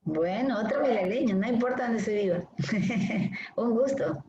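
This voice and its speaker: Woman, lecturer calling roll, mellow voice, echoey room, dull audio with faint hiss. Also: fairly high pitch. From Guayaquil, Ecuador. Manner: brisk, friendly, calling names. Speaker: dermatology lecturer. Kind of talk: online class roll call. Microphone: video call.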